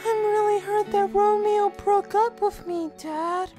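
A woman voicing a bright, pleading and teasing young woman in a comic dub. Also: extremely high pitch, fairly even intonation, measured pace, soft background music. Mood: plaintive. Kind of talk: cartoon comic dub acting.

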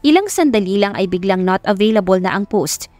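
Female Filipino narrator for a romance audiobook; dry, close sound over soft music. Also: mid-range voice, animated, a little fast, dry recording. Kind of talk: scripted romance story narration.

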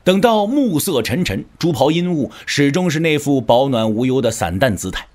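A man narrating a martial-arts novel as a dramatic audiobook, with a measured, expressive storyteller's delivery. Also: medium pitch. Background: background music.

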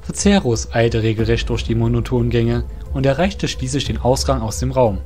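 A man's voice: medium pitch, moderate pace, a little mellow, faint music behind. A man narrating a scripted fantasy audio drama.

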